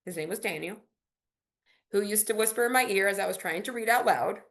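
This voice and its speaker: A woman in her forties whose first language is Spanish leads a coaching workshop for entrepreneurs. She speaks with drive in an ordinary middle register and often slows down to spell out words letter by letter. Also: mid-range voice, a bit bright. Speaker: workshop host.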